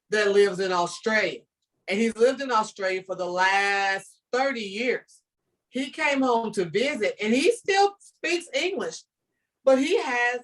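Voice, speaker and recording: An older woman from the southern United States with a Southern accent, a teacher talking to students on a video call in a lively, storytelling and encouraging manner. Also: a little high, distant mic, rough recording. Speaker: ESL teacher guest. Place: home room with bookshelves.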